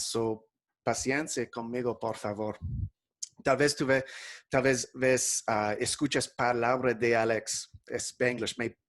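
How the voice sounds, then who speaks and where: A man from Canada, a native English speaker with an English accent, opening a webinar talk in halting, self-correcting Spanish. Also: medium pitch. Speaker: guest speaker.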